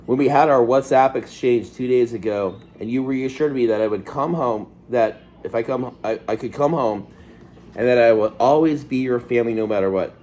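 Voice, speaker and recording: Mid-pitched man, casual co-host. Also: moderate pace, darkish tone. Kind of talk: reading a letter aloud with casual commentary.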